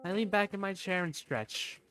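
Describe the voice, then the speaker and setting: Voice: mid-range voice, moderate pace, a bit bright, no echo. A narrator reading scripted first-person story narration for a game fandub. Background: background music.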